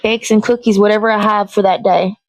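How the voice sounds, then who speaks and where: A woman's voice: medium pitch, little pitch movement, a little fast, dry recording, low-quality recording. A woman hosting a casual show, chatting and rambling as she works on a craft. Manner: rambling, self-correcting, chatty. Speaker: host. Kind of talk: casual chat while crafting.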